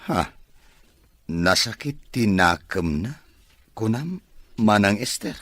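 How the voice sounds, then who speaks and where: A man's voice: fairly low pitch, expressive, takes it slowly, a bit bright, no room sound. A man acting a caring, well-off boss and expectant father in an Ilocano radio drama. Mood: concerned, questioning. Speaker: voice actor in an audio drama.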